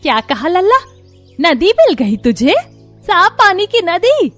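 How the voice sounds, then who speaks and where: A woman plays a wry old village mother-in-law in a cartoon tale. The voice is quite high, the tongue sharp and plain, with light music in the background. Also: big pitch swings, slow pace, heavily acted. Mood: amazed. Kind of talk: Hindi cartoon story dub.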